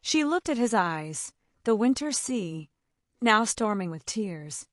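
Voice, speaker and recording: A woman's voice: medium pitch, very animated, slow, no echo, clean studio sound. A woman reading a romance story as an audiobook narrator, in a dramatic scripted style.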